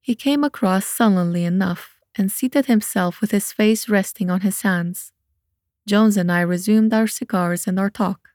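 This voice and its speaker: A woman narrates and hosts from a script. Her voice sits at a middle pitch, recorded dry with no room sound. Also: measured pace, a little mellow, dry recording. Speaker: narrator and podcast host.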